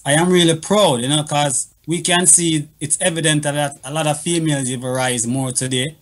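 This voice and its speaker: A man's voice: fairly high pitch, fairly even intonation, normal speed. A man talking as a panelist on a radio show over a call, earnest and full of "you know". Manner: earnest, patois phrasing, lots of "you know". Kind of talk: casual radio chat. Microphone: video call.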